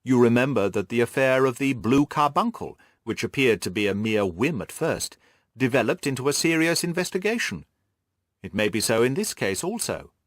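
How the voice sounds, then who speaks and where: A man's voice: medium pitch, expressive, normal speed, no room sound, clean studio sound, lightly acted. A man voicing a mild, loyal, slightly wounded companion who speaks politely and with measure in an audiobook. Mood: curious. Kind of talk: audiobook character dialogue.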